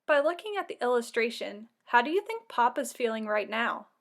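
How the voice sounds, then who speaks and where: A woman's voice: a little high, very animated, brisk, a bit bright. A woman narrating a children's read-aloud like a teacher, giving instructions and asking questions.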